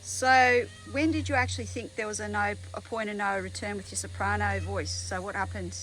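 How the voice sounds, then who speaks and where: A woman's voice: mid-range voice, fairly even intonation, normal speed, fairly bright tone, slight background noise, poor audio. A woman interviewing someone, asking short, plain questions in a casual way.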